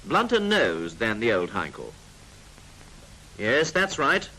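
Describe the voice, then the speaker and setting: A man's voice: mid-range voice, huge pitch swings, takes it slowly, sharp tone. A British man narrating a 1940s military training film in a clipped, instructive manner with drily jocular asides. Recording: noisy 1940s film soundtrack.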